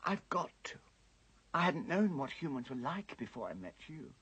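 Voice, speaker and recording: An actor playing a timid, guilt-ridden but kindly faun in an animated fantasy, anxious and often hushed. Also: mid-range voice, normal speed, slight background noise. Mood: hushed, fearful. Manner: hushed, anxious, expressive, trailing into whispers. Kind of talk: animated fantasy film acting.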